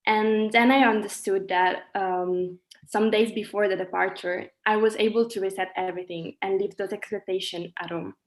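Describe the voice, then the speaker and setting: A woman's voice: mid-range voice, steady tone, moderate pace, hardly any pauses. A woman in her twenties from Italy giving an earnest, reflective personal testimony as a student. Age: 20s. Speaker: student speaker. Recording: echoey room.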